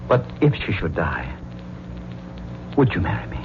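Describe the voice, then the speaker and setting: A dull old radio recording carries a distinguished judge approaching fifty. His voice is low, measured and earnest, with a coldly calculating streak. He speaks formally, in the manner of a 1940s mystery drama. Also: very animated, noisy background. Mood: ardent. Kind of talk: 1940s radio acting with first-person narration.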